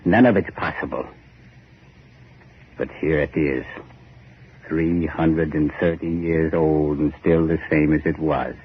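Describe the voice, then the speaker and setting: An actor playing a grim island man telling a dark history with ominous emphasis, in 1940s radio horror style. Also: rumbling low, fairly even intonation, slow, pauses often. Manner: emphatic, ominous, repetitive storytelling. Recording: scratchy, muffled 1940s radio recording.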